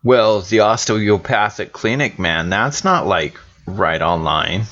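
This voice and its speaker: Low, laid-back man, slightly muffled recording. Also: expressive, slow, sharp tone. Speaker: player in a tabletop horror roleplaying podcast. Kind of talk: casual slangy improvised game chat.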